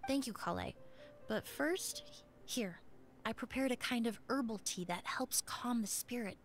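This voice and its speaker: An actress playing a gentle, caring young perfumer who speaks softly and considerately. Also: female voice, fairly high pitch, unhurried, soft background music.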